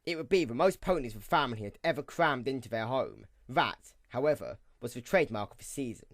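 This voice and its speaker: A man reading scripted story narration for an audio drama. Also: mid-range voice.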